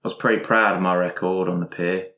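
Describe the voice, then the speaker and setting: Guy doing a shady arcade boss, kinda low, sly deliberate delivery, echoey room. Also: fairly even intonation, bright, forceful tone. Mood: smug, suspicious. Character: shady, self-satisfied male arcade businessman. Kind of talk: improvised in-character monologue.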